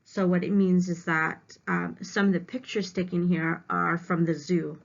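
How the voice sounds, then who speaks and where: A woman with a lowish voice reads aloud in the manner of a schoolteacher. She pauses to define words in simple terms for children. The sound is slightly muffled and lo-fi, like a homemade lesson recording. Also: level tone, gravelly. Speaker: elementary teacher reading aloud to students. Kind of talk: read-aloud lesson with simple word explanations. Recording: dull, lo-fi home recording.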